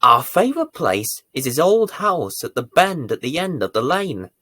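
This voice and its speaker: A man reading a bedtime story to young children as its presenter, with deliberate, theatrical emphasis. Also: a little high, very animated, slightly rough, no room sound. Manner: deliberate, theatrical emphasis with dramatic swells and hushes.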